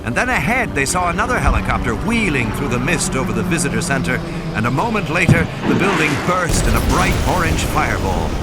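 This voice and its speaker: Man narrating a dramatic audiobook, very animated. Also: mid-range voice. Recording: music under the voice. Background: music and sound effects.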